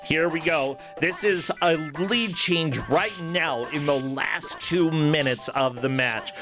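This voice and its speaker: Higher-voiced man commentating, music behind. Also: slow. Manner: enthusiastic, conversational. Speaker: esports commentator. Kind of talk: live gaming tournament commentary.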